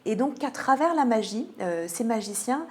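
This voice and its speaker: A woman answering in an interview as a theatre programming manager, often pausing mid-sentence as she presents a programme. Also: fairly high pitch, lively intonation. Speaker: theatre cultural programming manager, radio guest.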